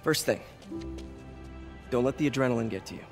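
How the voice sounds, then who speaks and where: Actor as young American superhero mentor, music behind. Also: male voice, medium pitch, fairly quick, close to an everyday voice. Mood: coaching. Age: young adult. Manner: informal, reassuring coaching. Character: young male superhero scientist, earnest, encouraging, wisecracking mentor. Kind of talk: acted video game dialogue.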